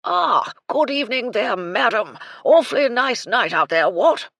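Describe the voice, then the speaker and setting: A woman's voice: on the high side, very animated, measured pace, creaky, fairly bright tone, no echo, pitched above the performer's own voice, full character voice. A woman voicing a tipsy, blustering old English army colonel with a bluff, clipped manner and old-fashioned slang, read in an audiobook. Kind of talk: audiobook character voice.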